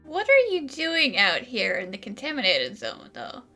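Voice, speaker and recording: A woman's voice: fairly high pitch, huge pitch swings, normal speed, pushed and bright, faint music behind. A woman giving casual, conversational game playthrough commentary, chatting along with the characters. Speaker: gaming video creator.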